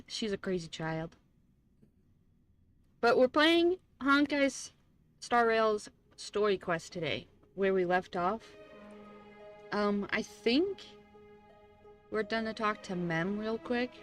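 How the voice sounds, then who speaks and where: A woman streamer chats casually as she plays a story game. Her pitch is ordinary and her pace slow, with informal, giggly asides and soft game music behind her. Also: expressive. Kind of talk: casual chatty livestream commentary. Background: game music and character voices.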